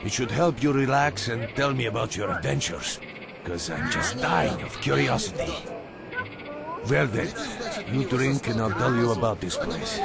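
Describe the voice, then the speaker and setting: An actor playing a chummy, gossipy bartender in a video game, talking in an easygoing, colloquial way. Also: male voice, medium pitch, animated, moderate pace, creaky, over a noisy crowd. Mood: chummy, curious. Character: folksy, joking, gossipy swamp-base bartender. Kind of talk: video game character acting. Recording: loud music behind the voice.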